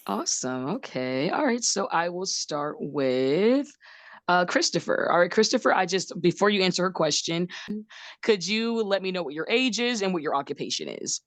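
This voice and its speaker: A woman's voice: on the low side, very animated, moderate pace, no echo. A woman hosting an unscripted dating show, asking contestants questions. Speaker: host.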